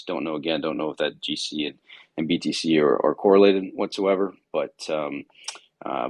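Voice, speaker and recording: Very deep, gravelly, tired-sounding man. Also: dry recording. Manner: halting, many fillers and restarts. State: tired, slightly raspy voice. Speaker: host of a live markets audio session. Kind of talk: casual market commentary.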